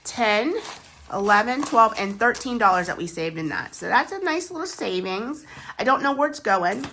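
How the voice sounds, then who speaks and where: An American woman talks to her camera in a loose, rambling way, laughing at her own forgetfulness. Her voice sounds stuffy and congested from a chest cold, but its tone stays bright and forward. She sounds close to the phone mic in a casual home vlog. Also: fairly high pitch, expressive, moderate pace, a little hiss or hum. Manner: chatty, rambling, self-deprecating asides. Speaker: savings challenge vlogger. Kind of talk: casual chat to camera while cash stuffing.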